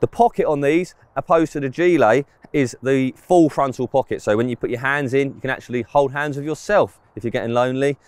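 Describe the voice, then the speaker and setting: A man's voice: medium pitch, fairly quick, few pauses, no echo. A man, giving a casual, plain-spoken product review to camera, outdoors on a close mic. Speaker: presenter of fishing clothing reviews. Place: outdoors in an open grass field.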